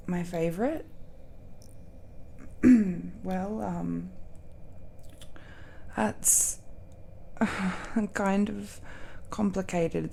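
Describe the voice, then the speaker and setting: Woman acting a slow, tender, nervous dragon girl. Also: on the low side, creaky, dark tone, slight background noise. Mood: nervous, embarrassed. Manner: hesitant, halting confession with nervous laughs and sighs. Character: affectionate, nervous young dragon-blooded woman confessing love. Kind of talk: intimate romantic audio roleplay.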